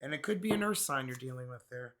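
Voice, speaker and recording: A hoarse man from Canada with a raspy voice, chatting casually through a tarot card reading with many fillers. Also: medium pitch, expressive, fast talker, very noisy. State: hoarse, recovering from illness. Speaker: tarot reader.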